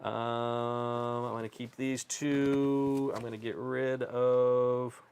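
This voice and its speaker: A man's voice: mid-range voice, little pitch movement, slow pace, creaky, darkish tone, clean tone. Man talking through a board game. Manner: talks through moves aloud. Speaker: board game player and host of a live play stream. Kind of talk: casual chat during board game play.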